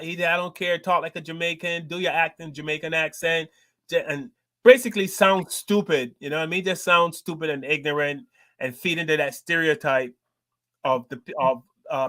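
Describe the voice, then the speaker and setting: Bright, forceful-voiced male comedian on a panel. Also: on the high side, fairly even intonation, fairly quick, no room sound. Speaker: guest stand-up comedian. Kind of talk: casual panel discussion.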